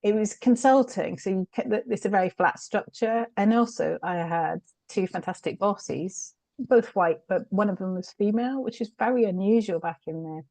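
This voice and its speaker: A middle-aged woman with a British accent talks through her own stories in a candid, reflective way, often breaking into a laugh. Her voice sits at a middle pitch and is recorded close and dry, with no room sound. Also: moderate pace, creaky, mellow, no room sound. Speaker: guest, change consultant. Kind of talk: candid conversational storytelling.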